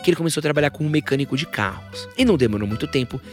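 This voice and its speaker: A man's voice: fairly high pitch, animated, rapid-fire, soft background music. A man hosting a scripted biographical podcast, with informal joking asides. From São Paulo, Brazil. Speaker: podcast host. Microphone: studio podcast microphone.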